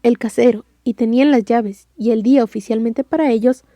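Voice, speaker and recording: A woman's voice: fairly high pitch, brisk, mellow, no room sound. A woman with a Mexican accent narrating an audiobook story in a wry, scripted style.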